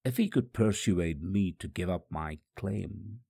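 A man's voice: sits low, lively intonation, unhurried, gravelly, dark tone, husky, no room sound. A man narrating a dramatic first-person religious story in a solemn, emphatic manner. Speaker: audiobook narrator.

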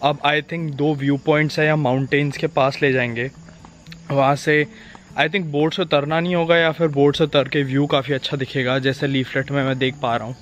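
A man's voice: on the high side, level tone, normal speed. A young man from India in his twenties, chatting candidly in a casual travel vlog, thinking aloud with hesitations, filmed on a handheld camera outdoors. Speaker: travel vlogger. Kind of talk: casual travel vlog, Hindi-English mix. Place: outdoors on a boat and paths among hills. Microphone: handheld camera at arm's length. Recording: background music over outdoor sound.

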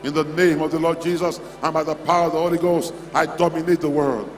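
High-voiced preacher in a reverberant hall. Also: male voice, steady tone, fast. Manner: exhorting, repetitive short declarations. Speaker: preacher leading a convention. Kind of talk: charismatic prayer-and-declaration sermon. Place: large hall at a church convention. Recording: echoey hall PA, music underneath. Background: music.

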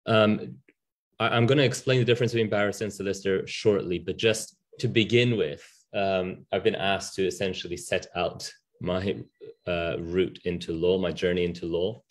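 A man's voice: low voice, steady tone, normal speed. A man in his thirties, a Japanese native speaker, speaking as a barrister on a webinar panel over a video call from a home room, candid with frequent ums and restarts. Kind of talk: webinar talk.

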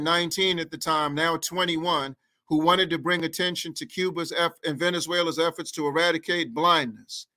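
Forceful man preaching on a video call. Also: fairly high pitch. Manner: rhythmic, repetitive oratory with long lists. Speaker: guest activist. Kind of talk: impassioned political speech in an interview. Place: indoor room at home. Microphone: headset on a video call.

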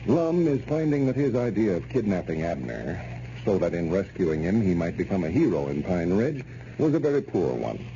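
A man's voice: deep voice, sing-song, moderate pace. An American man announcing on old-time radio, reading a script in a folksy, persuasive way. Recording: muffled, noisy old radio recording.

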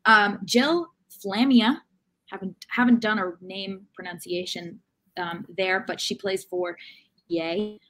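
A woman's voice: medium pitch, a little slow, fairly bright tone, low-quality recording. A young woman co-hosting a casual sports chat from a room at home on a video call. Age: young adult. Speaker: sports podcast co-host.

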